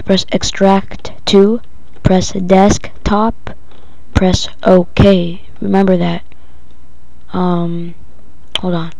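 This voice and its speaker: Flat-toned tutorial voice, female-sounding, dull recording. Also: medium pitch, vocal fry, no echo. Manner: halting, improvised step-by-step instructions. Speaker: tutorial presenter. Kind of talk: casual screen-recorded software tutorial.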